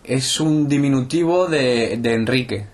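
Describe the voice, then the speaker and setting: A man's voice: mid-range voice, unhurried. Young man with a southern Spanish lilt. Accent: Andalusian-tinged Spanish. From Spain. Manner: steady, explanatory, with frequent hesitation fillers. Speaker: interviewed footballer. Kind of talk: casual interview answers.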